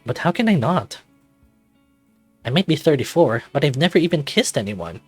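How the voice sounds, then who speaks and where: A man's voice: fairly high pitch, very animated, moderate pace, breathy edge, dry recording, faint music behind. A man voicing an anxious, self-doubting man in his thirties, narrating a visual novel introspectively in the first person. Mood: insecure, pleading. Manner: introspective, confessional, dramatic reading.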